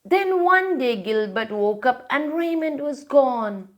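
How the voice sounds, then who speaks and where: A woman's voice: sits high, lively intonation, slow pace. A woman hosting a children's storytime, reading a picture book aloud and pointing out the pictures.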